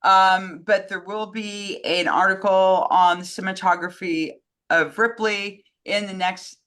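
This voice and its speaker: Female co-host, bright and crisp-edged voice, informal unscripted chat. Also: mid-range voice, fairly even intonation, a little slow. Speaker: co-host, communications director fielding questions. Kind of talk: casual chat.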